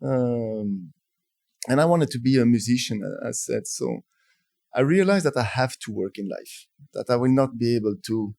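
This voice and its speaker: French-accented man, warm and dry. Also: mid-range voice, no echo. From France. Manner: thoughtful, halting, many restarts. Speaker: guest, telecom executive. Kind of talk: conversational interview storytelling.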